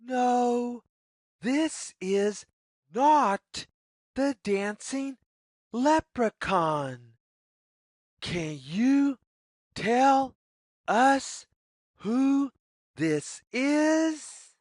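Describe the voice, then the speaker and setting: A woman reading a story aloud for young children, phrasing deliberately and putting questions to the listener. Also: fairly high pitch, lively intonation, darkish tone, no room sound, clean studio sound. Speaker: children's read-aloud narrator.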